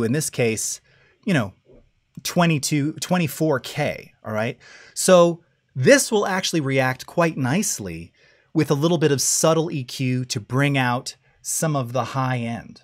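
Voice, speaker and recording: Middle-aged male host with a low voice, relaxed live-stream tutorial delivery, close studio mic. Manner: casual, checks in often with "all right?" and "okay?". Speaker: audio masterclass host. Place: home studio with dim blue lighting.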